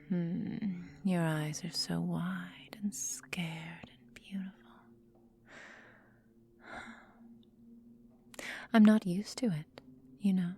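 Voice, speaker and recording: A woman playing a possessive, cruel, seductive vampire mistress in a breathy whispered ASMR roleplay, with slow, teasing, menacing phrasing. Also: lowish voice, mellow, slight background noise.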